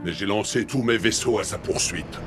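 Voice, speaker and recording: Casting: male actor as proud alien military commander, very low gravelly voice, solemn and defiant, game cutscene under loud music. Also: normal speed, natural voice. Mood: grave, defensive. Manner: formal, solemn. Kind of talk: dramatic French video game dubbing.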